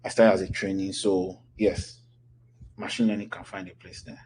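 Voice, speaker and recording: A man's voice: deep voice, level tone, moderate pace, darkish tone, slight background noise, rough recording. A Nigerian man in his thirties, an instructor answering questions in a loose, chatty online class. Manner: loose, chatty, with lots of fillers and restarts.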